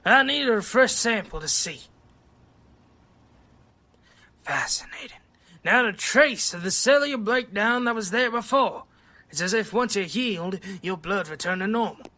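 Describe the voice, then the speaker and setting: A woman playing an excitable, absorbed scientist doctor in an audio drama, precise and given to muttering. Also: medium pitch, normal speed. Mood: fascinated.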